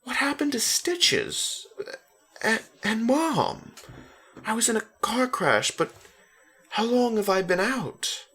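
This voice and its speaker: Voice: mid-range voice, sing-song, takes it slowly, a bit bright, breathy edge, voice pushed up, heavily acted. A reader voicing an injured boy in hospital, anxious and demanding, in an insistent dramatic story reading.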